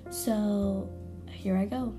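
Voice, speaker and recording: A young woman hosting her own podcast, chatting casually and rambling with self-corrections, her voice hoarse from allergies. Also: medium pitch, fairly even intonation, extremely slow, music in the background. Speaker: podcast host. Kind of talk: casual solo podcast chat.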